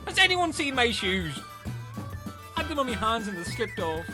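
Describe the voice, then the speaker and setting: A man's voice: very high voice, sing-song, moderate pace, over music. A man playing a dim, chatty, earnest Irish castaway in a comedy radio play, rambling and taking things literally. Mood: earnest, defensive.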